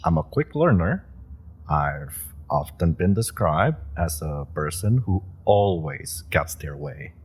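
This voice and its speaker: A man's voice: deep voice, animated, slow, slightly rough, full character voice. A young man voicing an eager, pushy super-powered rookie in an audio roleplay, talking the listener round with persuasive, playful chatter.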